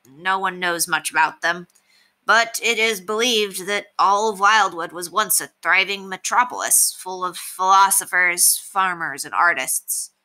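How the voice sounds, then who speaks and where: Woman reading as a bright-voiced, lecturing storybook fox. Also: fairly low pitch, unhurried. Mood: lofty, lecturing. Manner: formal, lecturing. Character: learned, haughty male fox, needling and dignified.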